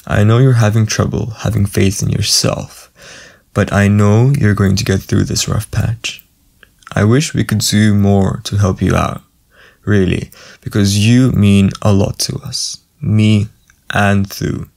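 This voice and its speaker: A man playing a friendly, gentle sleep paralysis demon in a casual, soothing, reassuring ASMR boyfriend roleplay. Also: on the low side, fairly even intonation, a little slow, vocal fry. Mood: warm, affectionate.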